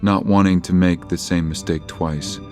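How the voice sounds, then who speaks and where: A man with a deep voice narrates a scripted horror tale. He tells it as the narrator of an audio drama, with clear music underneath. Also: fairly even intonation, normal speed, a little mellow.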